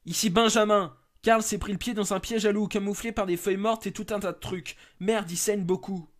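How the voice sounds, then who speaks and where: A man voicing an agitated forest rescuer in an amateur horror story, brisk, blunt and colloquial. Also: high-pitched, steady tone, voice pushed up, lightly acted. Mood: alarmed, angry.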